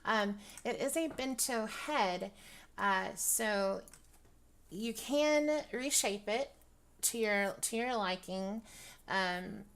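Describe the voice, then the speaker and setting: A young woman talks through a casual review, her voice dry and gravelly with plenty of fry. She rambles, restarts her sentences and fills the gaps with ums. Her pitch sits in an ordinary middle range. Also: expressive, takes it slowly, fairly bright tone. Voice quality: raspy, dry voice. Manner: rambling, many ums, laughs often. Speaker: virtual-world fashion vlogger. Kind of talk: casual product review chat.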